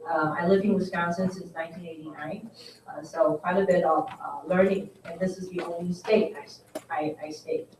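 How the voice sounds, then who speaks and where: A woman from Taiwan with a Taiwanese accent, a program director giving halting introductory remarks at a forum, with many fillers. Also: fairly low pitch, steady tone, darkish tone, a little hiss or hum. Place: conference center. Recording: echoey hall, mic from a distance.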